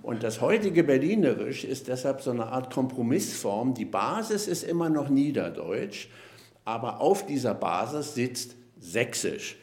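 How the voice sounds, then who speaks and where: A man, a linguist, explaining at length in a podcast interview, measured and lecturing, with frequent hesitation fillers. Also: medium pitch, big pitch swings, darkish tone, reverberant. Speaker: linguist guest.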